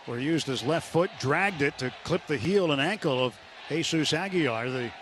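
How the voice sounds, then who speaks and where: A male American play-by-play announcer with a fairly high voice. He calls baseball live on the radio from a stadium booth, with loud ballpark noise all around him. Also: lively intonation, moderate pace. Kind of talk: live sports commentary. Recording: noisy ballpark radio broadcast.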